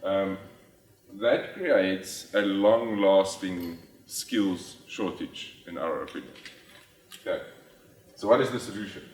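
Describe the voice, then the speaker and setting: A man's voice: low-pitched, slow, darkish tone. South African man speaking in echoey hall. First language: Afrikaans. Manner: frequent ums and restarts, plain explanatory delivery. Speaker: conference speaker. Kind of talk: conference presentation. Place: large conference hall. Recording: echoey hall, from far off the mic.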